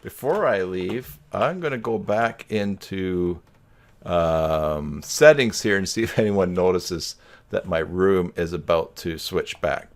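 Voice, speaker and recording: A man hosting a live stream over a video call, chatting casually with a joking, teasing manner. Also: deep voice.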